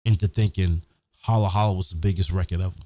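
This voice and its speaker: A man's voice: low voice, fairly even intonation, quick pace, mellow, dry recording. Profane, blunt man ranting, dry close sound. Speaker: host of his own show. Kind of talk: opinionated monologue, casual rant.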